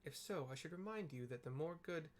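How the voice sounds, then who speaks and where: A man's voice: on the high side, expressive, a little fast, lightly acted. A man voicing an eloquent, courtly young male diplomat from a fantasy game, with formal, wordy phrasing. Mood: persuasive, formal. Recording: clear background noise.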